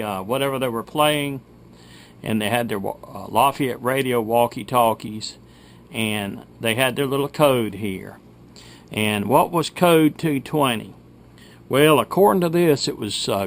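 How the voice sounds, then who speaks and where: A middle-aged man with a gravelly voice, chatting casually in a folksy way as he hosts a vintage gadget showcase. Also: medium pitch. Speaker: video host. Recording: steady background noise.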